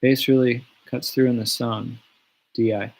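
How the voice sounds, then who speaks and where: A man chatting casually from his home on a livestream, answering fan questions in a relaxed, rambling way with many fillers and restarts. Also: mid-range voice, measured pace, creaky, low-quality recording. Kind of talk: casual live Q&A. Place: home studio in the countryside. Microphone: webcam or phone on a livestream.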